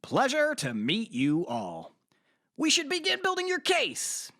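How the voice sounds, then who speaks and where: A man's voice: very high-pitched, huge pitch swings, a little slow, pushed and bright, no echo, clean studio sound, exaggerated voice. A man playing a formal, polite, slightly hesitant middle-aged defense lawyer in an audio drama. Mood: formal, earnest.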